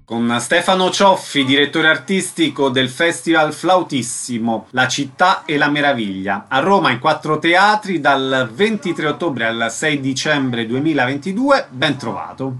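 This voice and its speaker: A man interviewing guests on the radio. His voice sits a little high and moves with very lively intonation as he delivers short questions and announcements. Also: measured pace, few pauses, soft background music.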